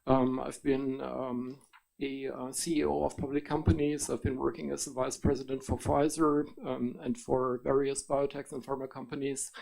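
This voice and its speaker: A middle-aged man with a German accent giving formal testimony to a committee, pausing often with ums and uhs as he explains. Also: medium pitch, flat delivery, vocal fry, warm tone, rough recording. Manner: hesitant, many ums and uhs, measured explanation. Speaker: testifying witness, biotech executive.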